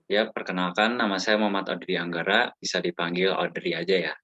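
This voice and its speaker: A young man from Bandung answers questions in a casual interview. His voice is deep, and his manner is easygoing and plain. The recording is dry. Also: steady tone, fairly quick, bright, forceful tone, no echo, lo-fi sound. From Bandung, Indonesia. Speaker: guest.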